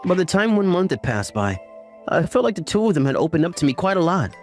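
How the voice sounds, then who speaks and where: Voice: medium pitch, big pitch swings, fast. A performer voicing a caring, teasing young uncle in a romantic comedy manga story, mixing narration with dialogue in a mild manner. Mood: matter-of-fact narrating. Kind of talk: anime-style recap narration and dialogue. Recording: music under the voice. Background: background music.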